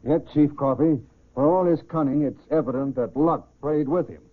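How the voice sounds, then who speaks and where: A man hosting a 1940s radio crime series in formal, measured, scripted speech. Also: on the high side. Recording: tinny band-limited 1940s radio recording.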